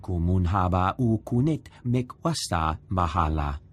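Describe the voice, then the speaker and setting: A gruff alien in a sci-fi game, speaking a made-up tongue. His voice is husky and fairly low, with animated swings in pitch. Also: a little slow. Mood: agitated. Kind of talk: video game voice acting.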